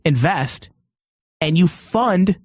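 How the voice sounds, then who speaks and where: A man's voice: high voice, expressive, extremely slow, darkish tone, dry recording, studio quality. A man hosting an opinionated panel talk, arguing his points emphatically. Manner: emphatic, argumentative. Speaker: podcast host.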